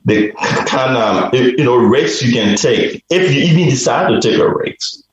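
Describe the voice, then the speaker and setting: A man from Liberia, a guest on a radio interview, telling a personal story slowly and reflectively, often restarting his sentences. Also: medium pitch, lively intonation, very echoey, highs cut off. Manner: slow, reflective, with repeated restarts.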